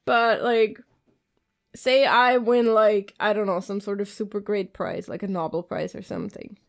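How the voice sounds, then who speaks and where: A woman's voice: medium pitch, animated, unhurried. A woman from Austria co-hosting a casual movie commentary, chatty and joking. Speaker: podcast co-host.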